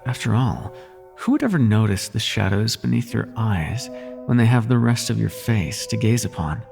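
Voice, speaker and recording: A man's voice: fairly low pitch, animated, moderate pace, creaky, a little mellow, faint music behind. A man playing a suave, teasing demon prince of nightmares in an intimate audio roleplay, with courtly, lingering delivery. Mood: teasing flattery.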